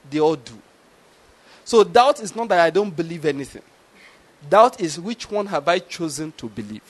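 A middle-aged preacher with a notably high voice for a man. He preaches in a storytelling way, often stopping to ask the congregation whether they follow. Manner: storytelling with rhetorical questions. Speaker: pastor. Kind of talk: sermon.

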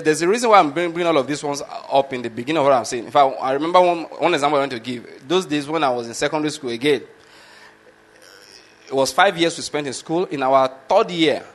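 A man's voice: on the high side, fairly bright tone. A man from Nigeria with a Nigerian accent, a lecturer whose first language is Yoruba, telling a casual story with frequent chuckles and rhetorical questions to the listener. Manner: chuckling, rhetorical, often asks "you understand my point?". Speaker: medical lecturer.

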